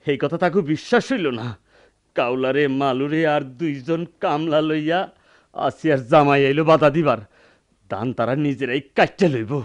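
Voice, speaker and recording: An actor playing a wounded young peasant in a Bengali radio drama, dazed, pained yet defiant, with a rural Bangladeshi dialect. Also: male voice, on the high side, normal speed, natural voice. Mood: aggrieved. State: dazed, in pain.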